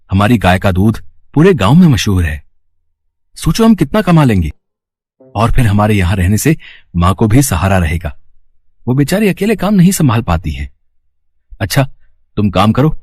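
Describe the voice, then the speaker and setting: A man voicing an earnest young married son with a husky voice, placating and persuasive, in a cartoon moral story. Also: lowish voice, very animated, moderate pace, long pauses, a little mellow.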